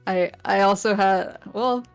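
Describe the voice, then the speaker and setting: Giggly, halting woman guest. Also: mid-range voice, clean tone, soft background music. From New England. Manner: giggly, halting, many restarts. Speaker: guest vtuber. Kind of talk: casual chat.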